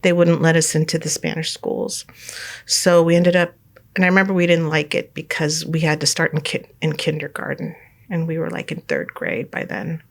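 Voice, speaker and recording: A middle-aged woman from California, a guest telling her life story in a relaxed interview, rambling with many fillers and restarts and laughing often. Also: sits low, moderate pace, gravelly. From United States, California.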